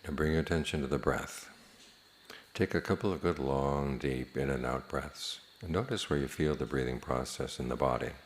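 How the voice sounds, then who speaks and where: A man teaching a guided meditation in a measured, plain-spoken manner, leaving long pauses between phrases. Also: extremely low pitch, a little hiss or hum.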